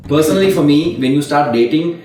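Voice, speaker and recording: A man's voice: on the high side, a little fast, music in the background. A thirty-year-old man talking casually in a group discussion, padding his points with "like". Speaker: participant in a couples discussion. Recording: echoey room, distant mic.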